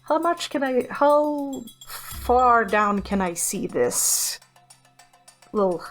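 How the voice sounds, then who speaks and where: A woman's voice: on the high side, expressive, takes it slowly. A woman talking casually as a player in a tabletop roleplaying game, with music behind her.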